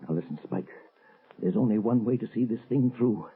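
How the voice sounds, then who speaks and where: An actor playing a frightened, scheming husband, halting and melodramatic, in a 1930s radio drama. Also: male voice, on the low side, slightly rough. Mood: desperate pleading. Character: frightened, guilty scheming husband cornered by his past. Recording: muffled, tinny old radio recording.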